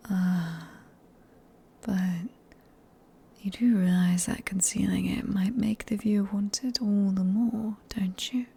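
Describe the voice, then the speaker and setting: Seductive female vampire, British, played by a woman for intimate ASMR audio; hushed, soft-toned, slow, teasing and sadistic under courtly manners. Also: medium pitch, fairly even intonation, exaggerated voice. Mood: admiring, seductive. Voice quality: breathy, largely whispered. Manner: slow, teasing, formally polite, coaxing. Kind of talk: ASMR roleplay acting, close and whispered.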